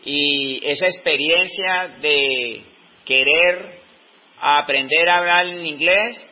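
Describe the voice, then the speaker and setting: A Colombian man in his fifties, giving an unscripted talk on teaching with slow, reflective pauses in the middle of his sentences. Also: fairly high pitch. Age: 50s. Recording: dull lo-fi recording.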